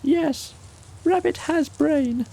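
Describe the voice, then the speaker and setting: A man's voice: extremely high pitch, takes it slowly, creaky, mellow, voice pushed up, heavily acted, rough recording. A man voicing a small, timid, childlike piglet in an earnest way for a children's storybook. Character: small timid high-voiced male piglet. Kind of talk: children's audiobook character voice.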